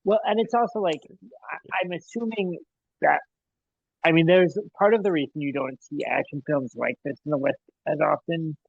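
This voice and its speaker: A man's voice: fairly high pitch, normal speed, vocal fry, husky, no echo, highs cut off. A man in his thirties co-hosting a movie podcast, rambling and hedging his thoughts in casual chat. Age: 30s. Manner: rambling, hedging, laughs often. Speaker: podcast co-host.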